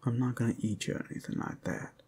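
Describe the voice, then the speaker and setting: A man voicing a teasing werewolf urban explorer in an ASMR roleplay, folksy and coaxing with a gravelly voice. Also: medium pitch, fast, darkish tone.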